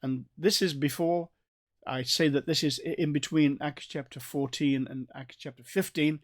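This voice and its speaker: A man with a North Staffordshire accent giving a recorded Bible study in a conversational way, with restarts and gentle asides. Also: on the high side, steady tone, normal speed. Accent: North Staffordshire English. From England. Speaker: Bible teacher.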